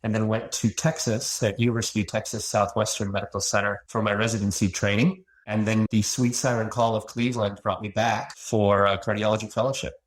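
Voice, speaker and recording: A man from Los Angeles, a cardiology fellow who presents a patient case in a measured, narrative way. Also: lowish voice, level tone, poor audio. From Los Angeles, USA.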